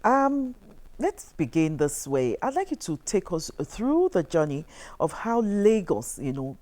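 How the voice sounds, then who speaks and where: A woman's voice: on the low side, big pitch swings, measured pace, darkish tone. A woman with a Nigerian accent, interviewing conversationally as a television host. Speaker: television talk show host.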